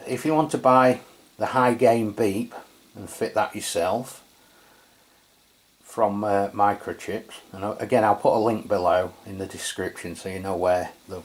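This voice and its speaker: A man with an English accent giving a casual hands-on product review in an informal, explanatory way. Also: lowish voice. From England. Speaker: radio reviewer and channel host.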